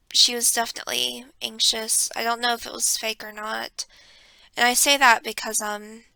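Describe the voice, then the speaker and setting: Young woman vlogger, hushed storytelling, sharp bright tone with gravelly edges, dry close mic. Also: on the high side, no room sound. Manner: hushed and reflective, with many ums. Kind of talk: personal storytelling vlog. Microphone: close desk microphone.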